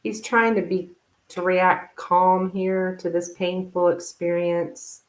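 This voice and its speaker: The sound is a roomy, echoing live stream. A woman with a fairly low voice gives a casual tarot reading as host. She talks informally and haltingly, working out her thoughts aloud as she goes. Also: fairly even intonation.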